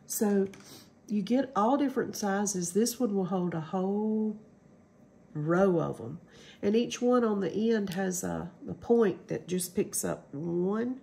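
Slow, gravelly-voiced woman with a stuffy cold. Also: mid-range voice, dark tone. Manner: chatty, rambling, hesitant with ums. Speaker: crafting channel host. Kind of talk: casual craft demo.

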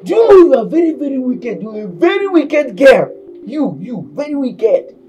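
A woman's voice: lowish voice, expressive, normal speed, warm tone, highs cut off, soft background music. A woman with a Nigerian accent playing a scheming, lecherous male house steward who bullies and mocks the maid in a comedy skit. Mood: mocking. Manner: mocking, bullying, laughing.

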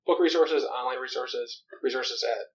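A man's voice: mid-range voice, normal speed, slight background noise. A podcast host asking rambling questions, rephrasing as he goes, in an in-person interview. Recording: slightly dull in-person recording.

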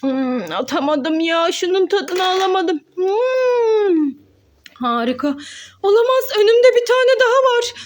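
A woman voicing a gluttonous, excitable toy character in a children's pretend-play skit, exclaiming and gasping melodramatically as it talks to itself. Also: very high-pitched, a little slow. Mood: greedy delight. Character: gluttonous excitable toy character sniffing out hamburgers, scheming cook.

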